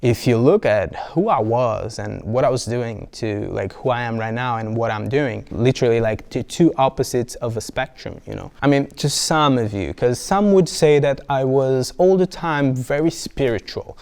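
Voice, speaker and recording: A man's voice: mid-range voice, moderate pace, gravelly, hardly any pauses, a little mellow. Rambling Brazilian man vlogging. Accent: Brazilian Portuguese. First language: Portuguese. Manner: rambling and playful, with restarts and many "like"s. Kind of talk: casual talk to camera.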